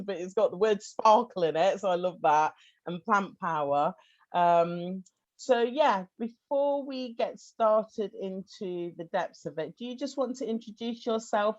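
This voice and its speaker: A woman's voice: mid-range voice, highs cut off. A woman hosting a podcast interview, chatty and enthusiastic. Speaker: podcast host.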